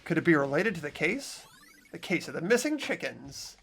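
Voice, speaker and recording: A man's voice: on the high side, big pitch swings, normal speed, vocal fry, fairly bright tone, breathy edge, faint music behind, full character voice. A man voicing an earnest, puzzled teenage boy who thinks aloud while investigating in a visual novel game. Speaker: amateur voice actor in a fan playthrough. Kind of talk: fan-dubbed visual novel acting and narration.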